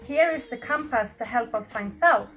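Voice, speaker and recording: A woman's voice: on the high side, lively intonation, moderate pace, a bit bright, faint music behind. A woman reading aloud from a children's bedtime story. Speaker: story reader.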